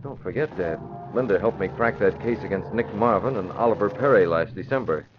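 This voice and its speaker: An actor as a low-voiced, resolute male crimefighter. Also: fairly quick, over music, lightly acted. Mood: serious. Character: resolute newspaper publisher son, secret crimefighter. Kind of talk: 1940s radio drama acting. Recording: muffled, hissy old radio recording.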